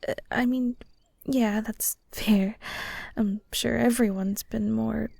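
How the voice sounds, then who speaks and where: Woman voicing female ghost, audio roleplay, awkward and caring, hesitant, soft mellow voice, slightly high. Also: fairly even intonation, slow, dry recording, full character voice. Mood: gentle, concerned. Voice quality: soft, breathy. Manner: hesitant, stammering, nervous soft laughs. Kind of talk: intimate audio roleplay addressed to the listener. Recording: soft guitar music behind. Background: gentle guitar music.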